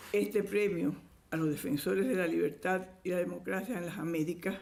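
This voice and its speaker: A woman delivers a formal award speech in a measured, deliberate way. Her voice has a gravelly, creaky edge. The sound rings around a roomy hall. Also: mid-range voice, a little mellow. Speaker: award presenter. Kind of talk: formal ceremony speech read aloud. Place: event hall.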